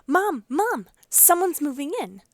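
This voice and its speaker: A woman's voice: high voice, unhurried, dry recording, voice pushed up, full character voice. A woman voicing an eager, curious young girl in a children's storybook. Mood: excited. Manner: eager, excitable.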